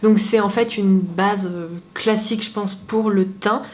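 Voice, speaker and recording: A woman's voice: mid-range voice, steady tone, unhurried, darkish tone. A young woman with a head cold, talking casually to camera as a beauty vlogger, chatty and candid. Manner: chatty, candid, self-mocking. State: stuffy with a cold.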